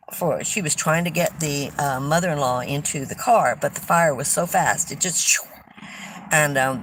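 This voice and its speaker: An older woman from California, hosting a casual livestream, chatting with viewers and telling stories in a loud voice. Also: deep voice, slight background noise, low-quality recording. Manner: chatty, warm storytelling with restarts. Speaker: livestream host. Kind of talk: casual livestream chat reading viewer comments.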